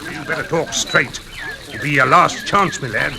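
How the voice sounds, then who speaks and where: An actor playing a coarse, menacing blackmailer, surly and mocking, in 1940s radio drama style. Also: male voice, fairly high pitch, normal speed, vocal fry, crowd noise. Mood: threatening. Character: coarse, menacing male blackmailer, sneering old pal. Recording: noisy old-time radio recording.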